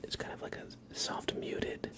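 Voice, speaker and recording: A man hosting an ASMR livestream, chatting casually in a soft, hushed, gentle manner. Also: measured pace. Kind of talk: whispered ASMR, casual rambling chat.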